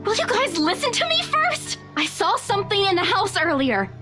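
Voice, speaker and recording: Scared young woman, very high voice, bright and tense, pleading, game music behind. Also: very animated, normal speed, full character voice. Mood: insistent. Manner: pleading, defensive. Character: frightened young woman insisting she saw something.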